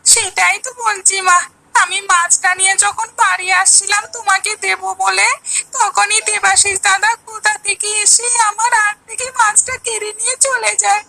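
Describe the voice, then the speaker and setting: Woman as a very high, whining little boy. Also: steady tone, normal speed, creaky, sharp tone, slight background noise, full character voice, low-quality recording. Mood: tearful, aggrieved. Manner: whining, tearful. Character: poor young village boy, hurt and plaintive. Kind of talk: Bengali animated moral story acting.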